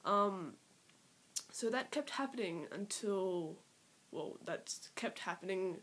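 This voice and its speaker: Young woman, Australian accent, nineteen, nervous, rambling, hesitant, fillers, drops to near-whisper, iPad mic, mid pitch. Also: expressive, takes it slowly, fairly bright tone. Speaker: vlogger. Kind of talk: nervous personal vlog to camera. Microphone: iPad built-in mic.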